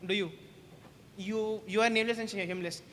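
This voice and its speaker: A man's voice: sits high, fairly even intonation, fairly bright tone, in a large hall, a little hiss or hum. A young man, a senior student, joking with freshers on stage in casual banter with many restarts. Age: young adult. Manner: joking, teasing, quick repeated restarts. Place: large hall on stage.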